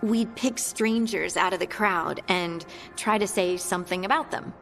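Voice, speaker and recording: A woman playing a warm, earnest young scout in a fantasy video game, chatting in a friendly, conversational way. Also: mid-range voice, normal speed, creaky, faint music behind. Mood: playful. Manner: chatty, friendly, lightly amused.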